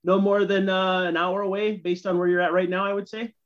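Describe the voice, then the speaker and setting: A man's voice: sits high, flat delivery, brisk, dull audio. A man in his thirties with an American accent hosts a casual sports podcast chat. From Minnesota, USA. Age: 30s. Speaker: podcast host.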